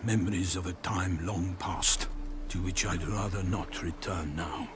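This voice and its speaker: An actor playing a proud, earnest knight errant in a video game, speaking in a formal, flowery, theatrical way. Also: male voice, deep voice, fairly even intonation, a little slow. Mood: subdued, guarded. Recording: music behind.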